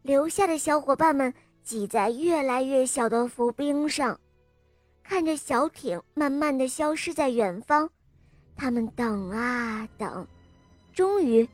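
A woman's voice: high-pitched, takes it slowly, no echo. A woman reading a scripted storybook tale for young children, expressively and addressed to little listeners. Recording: music under the voice. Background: music.

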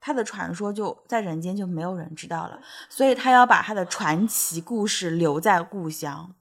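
A woman's voice: fairly low pitch, moderate pace. A woman co-hosting a casual podcast chat, explaining stories at length and agreeing often. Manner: explains at length, stacks many agreeing "对对对". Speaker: podcast co-host. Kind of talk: casual chat about fairy tales.

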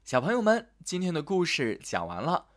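Lively male children's storyteller. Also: on the high side, moderate pace, a little hiss or hum. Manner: gentle, sing-song storytelling to children. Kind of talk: scripted fairy-tale narration.

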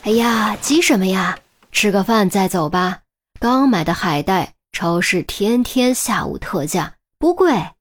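A woman's voice: medium pitch, expressive, a little slow. A woman voicing a chatty, nosy, thrifty old neighbour lady in an audiobook, with a folksy, fussing way of talking. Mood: hospitable.

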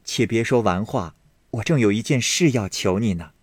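A man voicing a gentle young maid in an audiobook reading of a classic novel, speaking softly and mildly. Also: lowish voice, animated, normal speed, breathy edge, no echo, studio quality. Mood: mild, coaxing. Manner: soft, hushed, mild.

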